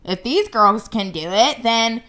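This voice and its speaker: A woman's voice: on the high side, expressive, measured pace, pushed and bright. A young woman from the United States hosting her own show, giving blunt, confident commentary straight to camera. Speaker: show host.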